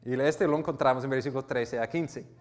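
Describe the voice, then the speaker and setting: A man's voice: medium pitch, a little fast, long reverb tail. A man with an American accent preaching a sermon, reading scripture and explaining it earnestly with frequent restarts. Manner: earnest, with restarts and self-corrections. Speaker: pastor.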